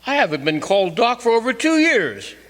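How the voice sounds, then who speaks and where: Man performing defensive husband, radio mystery, high voice, very animated intonation, halting, earnest. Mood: defensive, pleading. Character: nervous, defensive husband under police suspicion. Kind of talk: old-time radio mystery acting. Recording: echoey hall stage recording.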